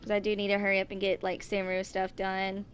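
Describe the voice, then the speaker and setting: A woman in her twenties giving casual, rambling game commentary, thinking aloud in a halting, distracted way. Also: medium pitch, completely flat delivery, a little hiss or hum. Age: 20s. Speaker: gaming video creator.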